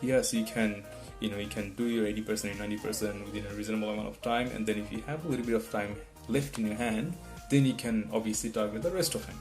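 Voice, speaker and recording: Low gravelly guy, english not his first language, rambly tips, guitar music behind. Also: fairly even intonation, quick pace, few pauses, darkish tone. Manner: rambling, repeats "right?" and restarts often. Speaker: test-prep vlogger. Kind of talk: casual advice talk to camera.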